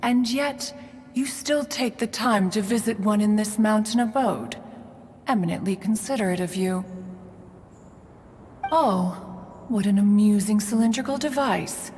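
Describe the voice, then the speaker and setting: A woman's voice: mid-range voice, unhurried, long pauses, a little mellow, a little hiss or hum, lightly acted. An actress playing a proud, refined immortal woman in a game, speaking in formal, archaic phrases and referring to herself as "one". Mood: gracious, curious. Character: proud, refined immortal adeptus woman, haughty yet fussy. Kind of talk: scripted game dialogue. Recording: long reverb, music behind.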